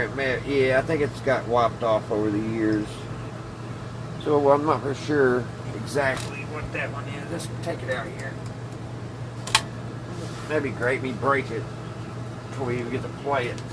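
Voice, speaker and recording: Man, average pitch, casual hobbyist host, hesitant rambling delivery, noticeable room noise. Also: normal speed, pauses often. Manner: rambling, hesitant, thinking aloud. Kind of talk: casual workbench vlog about old radios. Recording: clearly noisy room, steady hum.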